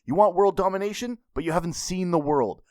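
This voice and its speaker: A man's voice: sits high, fairly quick, mellow, no room sound, studio quality, pitched above the performer's own voice, close to an everyday voice. A man voicing a cocky anime mentor who lectures bluntly and mockingly. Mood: scolding. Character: cocky, scolding adult anime mentor, a self-declared commoner.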